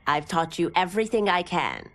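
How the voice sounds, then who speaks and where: An actress playing a tough older martial arts teacher in a game cinematic, blunt, knowing and firm. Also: female voice, lowish voice, lively intonation, normal speed, a bit bright. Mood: firm, earnest. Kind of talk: video game cinematic acting.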